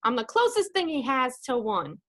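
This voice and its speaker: Blunt, soft-hearted big-kid guy acted by a woman, high-pitched, comedic radio drama parody. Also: wildly swooping pitch, normal speed, heavily acted. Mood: hurt, angry.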